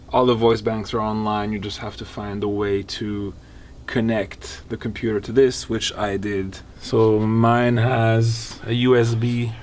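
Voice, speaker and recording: A man in his thirties with a somewhat low voice explains synthesizers in plain, technical terms. He plays keys as he talks, recorded in a wood-paneled studio room. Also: slight background noise. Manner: technical, explanatory, while playing keyboards. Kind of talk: casual studio walkthrough.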